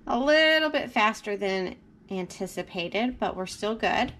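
A woman with a mid-pitched voice talks through a homemade recipe step by step. Her delivery is informal and casual, like a homesteader showing viewers her routine. She is recorded in a home kitchen on a nearby camera, with clear background noise and clicks. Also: lively intonation, a little slow. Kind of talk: casual how-to narration while cooking.

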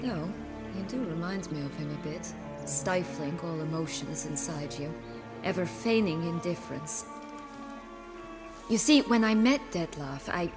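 A woman playing a haughty, cynical noblewoman with old wounds in a fantasy video game, measured and sardonic with a theatrical touch. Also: fairly low pitch, lightly acted. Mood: sardonic, intimate.

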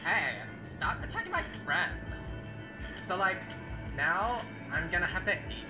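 A woman voicing a sugary, catty valley-girl monster in an animated parody dub, with a drawling way of talking. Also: fairly low pitch, a little slow, roomy sound, over music.

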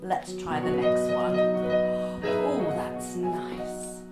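A woman's voice: on the low side, lively intonation, very slow. A middle-aged woman leads an interactive story drama class for young children in a theatrical, coaxing, exclamatory way. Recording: roomy echo, loud music behind. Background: loud keyboard music.

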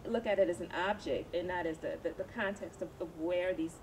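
A woman's voice: fairly low pitch, fairly even intonation, brisk. A woman in her thirties answering questions on a panel, thoughtful, with many fillers and restarts. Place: outdoor evening event. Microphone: handheld microphone. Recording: distant camera audio, noisy outdoors.

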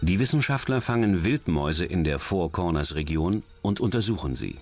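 A man reading scripted documentary narration about science and crime in a measured, dramatic, clearly enunciated way. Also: deep voice, lively intonation. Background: music.

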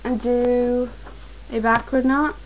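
A woman's voice: a little high, little pitch movement, dull audio, a little hiss or hum. A young woman who is getting a cold, patiently giving step-by-step craft instructions at a home desk. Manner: patient, repetitive instructions, slow and careful at times. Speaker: crafts tutorial maker.

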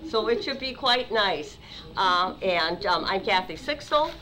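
A woman in her sixties closing a community meeting with friendly announcements, pausing on fillers. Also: medium pitch, expressive, bright, forceful tone, a little hiss or hum, poor audio. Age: 60s. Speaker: group organizer. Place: meeting room.

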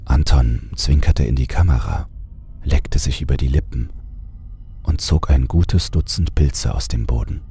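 Male creepypasta narrator: extremely low, dark voice, suspenseful scripted read over music. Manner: measured, suspenseful. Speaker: horror story narrator.

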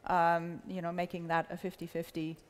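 A middle-aged woman with a fairly low voice talks on a panel in a large hall, and the long reverb trails after her words. She speaks in a measured way, pausing on fillers and restarting her sentences. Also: monotone. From United States. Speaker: panelist, company executive. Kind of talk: panel discussion. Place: large hall with a live audience.

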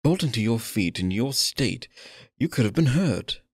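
A man playing a sinister, possessive, courtly stage magician in an ASMR roleplay, coaxing the listener with theatrical, drawn-out phrasing. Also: mid-range voice, very animated, breathy edge, dry recording, studio quality. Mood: concerned, controlling.

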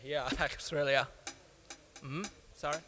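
A shy young man from China introduces himself, with many hesitant fillers between his words. Loud music plays behind his mid-pitched voice as he speaks into a handheld mic in church. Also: steady tone, slow. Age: young adult. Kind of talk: self-introduction. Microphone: handheld microphone.

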